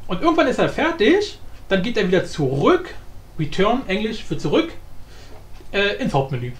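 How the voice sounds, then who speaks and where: A man with a high voice walks through software in a casual, unscripted way. He thinks aloud and often repeats himself. The room sounds echoey, with faint noise behind him. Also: wildly swooping pitch, moderate pace. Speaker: tutorial host. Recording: roomy echo with faint background noise.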